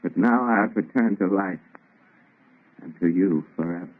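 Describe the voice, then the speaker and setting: An actor playing a haunted, fervent young scientist in an old radio drama, emotional and melodramatic. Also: male voice, low voice, moderate pace, breathy edge. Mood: overjoyed, tender. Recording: tinny, fuzzy vintage radio recording.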